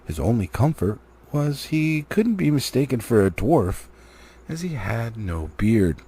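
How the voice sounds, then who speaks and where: Husky, lively male storybook reader. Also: medium pitch, a little slow. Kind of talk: expressive audiobook reading of a fantasy novel.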